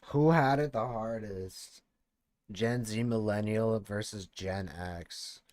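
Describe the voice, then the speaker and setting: A man's voice: fairly low pitch, slow, a little mellow. A man in his late twenties, streaming and reacting to a video, chatting casually, thinking aloud and swearing. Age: late 20s.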